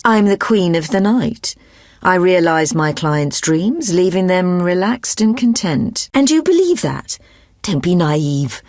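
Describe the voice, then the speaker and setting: An actress playing a proud, seductive vampire madam in a fantasy video game, imperious, with a haughty, formal manner. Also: female voice, on the low side, creaky, no room sound, clean studio sound, heavily acted.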